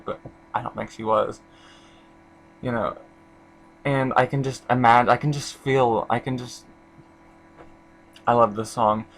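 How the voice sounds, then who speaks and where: A man's voice: medium pitch, a bit bright, low-quality recording. A young man from Indiana casually reviewing an album, rambling with lots of fillers and restarts.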